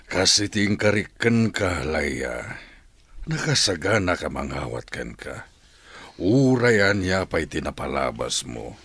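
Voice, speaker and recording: A man's voice: deep voice, big pitch swings, takes it slowly, fairly bright tone, slightly rough, dry recording, heavily acted. An actor playing an earnest young man pleading his love in a radio drama. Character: devoted young man courting a woman. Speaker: suitor.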